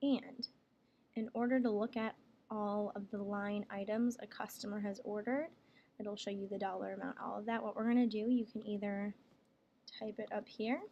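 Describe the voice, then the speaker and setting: A woman working as a software trainer, explaining each step plainly in a casual screen tutorial. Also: mid-range voice, steady tone, moderate pace, dull audio. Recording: clear background noise, room ambience.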